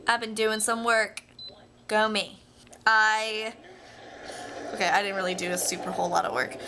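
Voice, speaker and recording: A woman's voice: mid-range voice, flat delivery, normal speed, background noise. A young American woman talking casually to viewers like a video diary, rambling in a playful, self-deprecating way. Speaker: vlogger.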